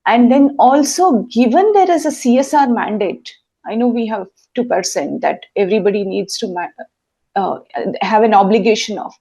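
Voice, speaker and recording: A woman's voice: medium pitch, expressive, moderate pace, mellow, breathy edge. A woman host, asking long, winding interview questions and correcting herself along the way. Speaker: podcast host.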